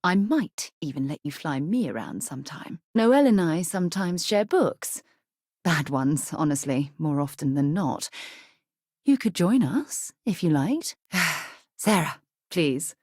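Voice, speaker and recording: A woman's voice: low-pitched, expressive, unhurried, darkish tone, slightly rough, no room sound, studio quality, exaggerated voice. An actress playing an earnest, principled woman who leads space explorers in a video game, speaking articulately and with composure. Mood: dry, candid. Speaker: voice actress for a game companion character. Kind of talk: acted video game dialogue lines.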